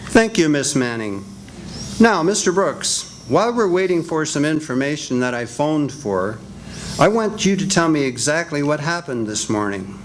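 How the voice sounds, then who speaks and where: An older man in a senior center radio drama reading, playing a calm, businesslike detective. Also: fairly high pitch, a little hiss or hum, close to an everyday voice. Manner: measured, businesslike. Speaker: amateur radio actor.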